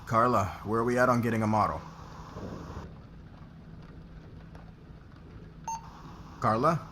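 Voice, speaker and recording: A man acting in an intimate ASMR roleplay as a demanding, guarded noble boss weighed down by debt, speaking haltingly with sighs. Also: medium pitch, fairly even intonation, bright, forceful tone, slight background noise, natural voice. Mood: impatient, demanding.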